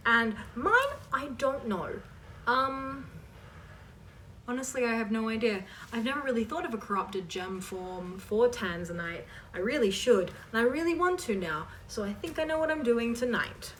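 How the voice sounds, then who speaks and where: A woman's voice: on the high side, expressive, normal speed, a bit bright, far from the mic, slight background noise. A woman hosting a casual question-and-answer chat for her viewers, informal and emphatic as she reads questions aloud and answers them.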